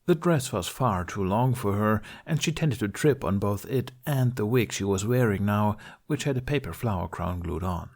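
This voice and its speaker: A man reading a fantasy story aloud as an expressive amateur narrator. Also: on the low side, moderate pace, few pauses. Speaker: amateur podfic reader.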